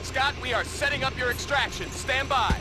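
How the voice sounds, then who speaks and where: Music plays clearly behind a high male voice an army officer. He briefs troops briskly and emphatically in the manner of a military game mission. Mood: urgent.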